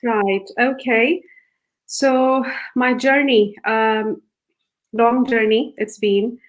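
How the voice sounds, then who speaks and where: Soft gentle woman from pakistan, slightly high voice, lots of ums, interview guest. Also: steady tone, very slow, low-quality recording. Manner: soft, gentle voice with many hesitations. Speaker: psychiatrist guest. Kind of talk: interview answers.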